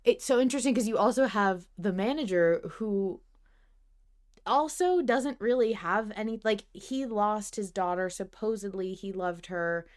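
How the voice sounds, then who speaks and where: A woman's voice: mid-range voice, moderate pace. Female podcast host, mid-range voice, informal conversational discussion. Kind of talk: casual discussion of a fiction podcast.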